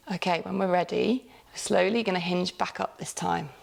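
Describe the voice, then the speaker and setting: Yoga teacher lady, lowish voice w some vocal fry, calm cues, laughs a bit. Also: unhurried. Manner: calm step-by-step cueing, with light laughs and self-corrections. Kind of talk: guided yoga class instruction.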